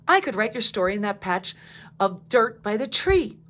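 A woman reading a picture book aloud, voicing an eager, kind little male sparrow in a high, excitable voice. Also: moderate pace. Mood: excited.

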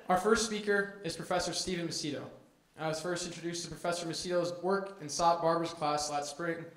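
A young college man reads speaker introductions from his notes, loud and a little high in pitch. The lecture hall around him gives his voice a roomy echo. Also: steady tone, moderate pace. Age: young adult. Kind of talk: reading formal introductions. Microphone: handheld microphone at a lectern.

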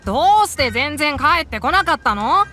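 A woman plays a small, eager little boy from a game, sweet and trusting as he pleads. The voice is high and bright over faint game music. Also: very animated, normal speed, heavily acted. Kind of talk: Japanese game voice acting.

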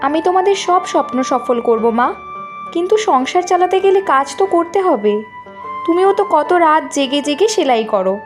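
A woman voicing an earnest, hardworking young college student in an animated story, speaking politely and plainly. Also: high-pitched, a little fast, faint music behind. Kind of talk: Bengali animated story dialogue.

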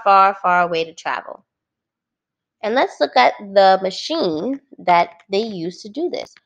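Lively-pitched woman reading aloud to children. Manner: clearly phrased, instructive, addressing young listeners. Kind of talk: children's read-aloud with simple explanations.

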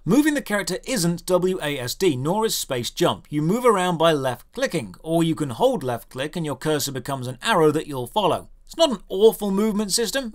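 A man hosting a scripted comedic game review, with a dry, sardonic and emphatic delivery. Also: on the high side. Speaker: video host, game reviewer.

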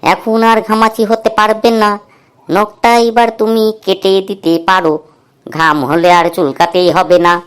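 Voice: medium pitch, little pitch movement, unhurried, fairly bright tone, clean tone, natural voice. A performer voicing cheeky, playful schoolboys in a comedy cartoon. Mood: teasing.

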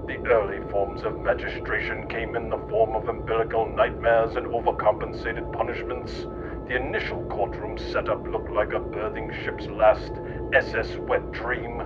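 A man's voice: little pitch movement, normal speed. A man reading scripted comedic mock history as a narrator, in a theatrical, mock-pompous manner. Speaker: narrator and writer. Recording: muffled with clear rumbling noise.

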